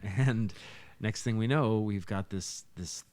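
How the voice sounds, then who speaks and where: Middle-aged guy chatting on a podcast, rambles through stories, real low voice, dry close sound. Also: slow pace, darkish tone, no echo. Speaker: musician, podcast guest. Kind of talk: casual chat, storytelling.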